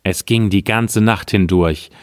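A man with a low voice reads a fairy tale aloud. He takes it slowly, in measured and expressive storyteller phrasing, and the sound is very dry. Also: no room sound. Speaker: storyteller, podcast narrator. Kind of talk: scripted fairy-tale narration.

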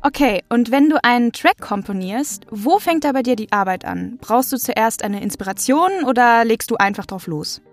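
Higher-voiced young woman interviewer. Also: animated, moderate pace, few pauses, faint music behind. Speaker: interviewer, host. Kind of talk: casual scripted interview questions.

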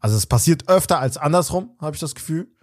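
A man co-hosting a casual podcast, using slang and thinking out loud, often trailing off mid-sentence. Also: on the high side, expressive, fast. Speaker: podcast co-host. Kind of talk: casual chat.

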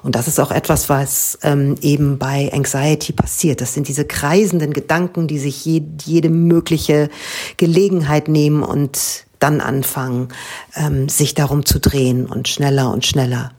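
Husky low-voiced woman translator. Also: little pitch movement, a little slow, a little mellow. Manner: thoughtful, explains at length with frequent hesitations. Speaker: book translator interviewed by students. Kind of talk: explaining her work in a school podcast interview.

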